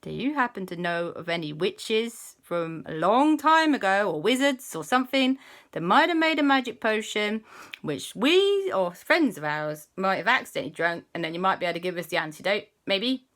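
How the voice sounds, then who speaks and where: A woman's voice: medium pitch, sing-song, normal speed, fairly bright tone. A woman voicing two young boys who ask politely and hesitantly. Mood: hopeful. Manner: hesitant, polite, rising questions.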